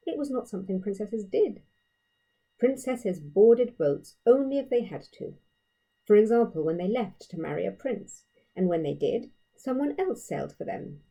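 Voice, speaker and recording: A woman reading a children's story aloud in a measured, expressive storytelling way, leaning on key phrases. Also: mid-range voice, creaky, slightly rough, poor audio. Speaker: author reading own story. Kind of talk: expressive children's audiobook narration.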